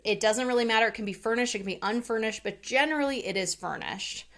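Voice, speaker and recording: A woman's voice: fairly high pitch, fast talker, fairly bright tone. A woman in her thirties from Hawaii, a rental investor chatting candidly and laughing easily as a podcast guest. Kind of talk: casual interview chat.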